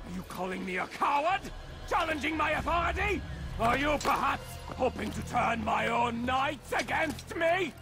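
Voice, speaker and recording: An actor voicing a paranoid, menacing knight commander in a video game, with an accusatory, imperious and theatrical delivery. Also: male voice, very high voice, expressive, normal speed. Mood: suspicious, accusing. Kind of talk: video game villain acting. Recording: dramatic music behind the voice. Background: music.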